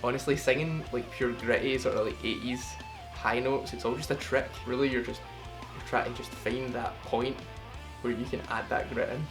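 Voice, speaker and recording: A man's voice: mid-range voice, fairly even intonation, moderate pace, loud music behind the voice. A man from the UK casually breaking down his vocal cover, rambling and swearing freely, with self-deprecating asides. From United Kingdom. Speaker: singer explaining his cover. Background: song playing underneath.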